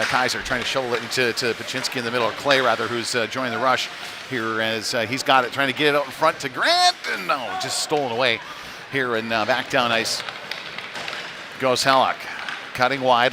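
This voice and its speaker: A man with a medium-pitched voice commentates live on a youth hockey game. His delivery is conversational and a little halting, with plenty of filled pauses. The clatter and ambient noise of an ice rink is clearly heard behind him. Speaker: play-by-play commentator. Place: chilly indoor ice rink.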